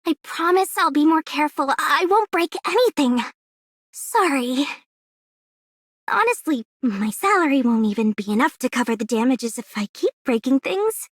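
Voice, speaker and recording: A woman's voice: high voice, lively intonation, normal speed, no room sound, studio quality. A woman voicing an earnest, sweet young girl in a game. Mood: sheepish, apologetic. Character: earnest, sweet young girl operator, eager to protect friends. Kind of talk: scripted game voice lines.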